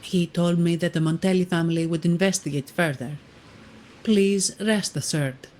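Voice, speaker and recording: Woman doing a calm dutiful guard lady, game dialogue, kinda low voice, formal n matter of fact. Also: moderate pace, slight background noise, natural voice. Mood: formal, reassuring. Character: composed, dutiful female bodyguard and guide, businesslike. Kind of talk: video game voice acting.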